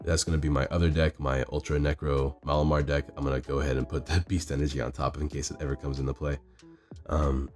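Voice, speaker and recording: Hoarse man, very deep voice, quick self-correcting game commentary on a phone mic in a store. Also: a little mellow. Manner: rambling, self-correcting strategy talk. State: hoarse, losing his voice from illness. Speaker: card game player commentating his match. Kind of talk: casual play-by-play commentary.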